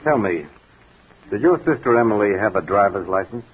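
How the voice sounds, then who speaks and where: A dogged police detective in a 1940s radio mystery, low-voiced and very rough-edged. He is formal, deliberate and accusing, heard through a thin, phone-like old recording. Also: lively intonation. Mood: accusing, insistent.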